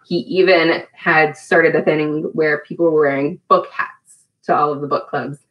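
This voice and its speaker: A woman's voice: low-pitched, flat delivery, unhurried, dull audio. A woman in her thirties leading a children's storytime, speaking encouragingly and asking simple questions as a preschool teacher. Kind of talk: children's storytime lesson with songs. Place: small room at home.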